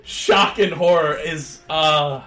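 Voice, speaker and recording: A man's voice: a little high, a crowd in the background. A man hosting a podcast, chatting with enthusiasm and laughing often. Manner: enthusiastic, animated, quick to laugh. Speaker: podcast host. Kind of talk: casual chat.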